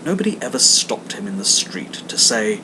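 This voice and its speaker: A man narrating a classic story theatrically, with dramatic, emphatic phrasing and steady background noise. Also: moderate pace. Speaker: audiobook reader. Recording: clear background noise, low rumble.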